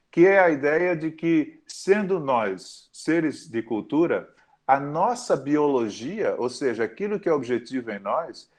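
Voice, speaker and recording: A man lectures in a measured, thoughtful way. He breaks off often to pause and rephrase. His voice sits at an ordinary middle pitch. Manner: thoughtful, with frequent pauses and self-corrections. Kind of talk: academic lecture.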